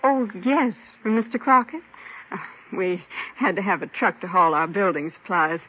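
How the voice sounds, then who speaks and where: A woman's voice: mid-range voice, expressive, moderate pace, natural voice. An actress playing a helpful, talkative American businesswoman answering police questions in a 1950s radio drama, polite and assured. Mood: defensive, assured. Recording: muffled, noisy old radio recording.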